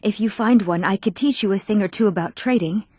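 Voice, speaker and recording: An eager young townswoman from a fantasy role-playing game, played by an actress. She speaks earnestly and articulately at a middling pitch, with each line recorded dry and close, free of room sound. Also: a little fast, a little mellow, slightly rough, no room sound, lightly acted. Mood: hopeful, friendly. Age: young adult. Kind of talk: scripted fantasy video game dialogue.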